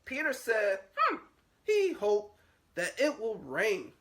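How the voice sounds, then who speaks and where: A man's voice: high-pitched, huge pitch swings, takes it slowly, a bit bright. Guy teacher reading a storybook to little kids, kinda sing-song up and down. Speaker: nursery school teacher reading aloud. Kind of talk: storybook read-aloud for young children.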